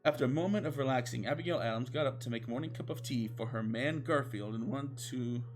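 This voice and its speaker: A man's voice: mid-range voice, fast, soft background music. A man narrating comic fan fiction in a mock-dramatic way. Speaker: storyteller, show host.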